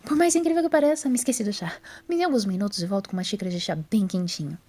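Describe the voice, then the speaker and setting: A woman voicing a nervous, socially awkward female time traveller in an audio story, hurried and apologetic. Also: mid-range voice, warm tone, natural voice. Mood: friendly, awkward. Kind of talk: audio drama character voice.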